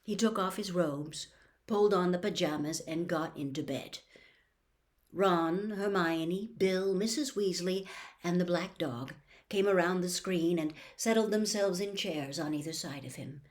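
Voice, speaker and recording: A woman's voice: fairly low pitch, measured pace. A woman narrating a storybook expressively as an audiobook reader.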